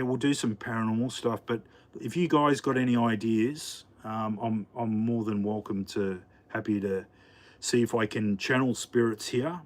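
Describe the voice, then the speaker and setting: An Australian man hosting a casual livestream from his home kitchen, chatting to viewers while he cooks, rambling with lots of fillers and restarts. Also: lowish voice. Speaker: livestream host. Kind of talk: casual live chat while cooking.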